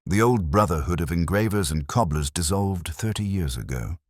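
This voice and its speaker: A man voicing a shrewd, commanding Victorian detective in an audiobook, with deliberate, grave pronouncements. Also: low-pitched, lively intonation, no echo, clean studio sound. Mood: pressing. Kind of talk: audiobook character dialogue.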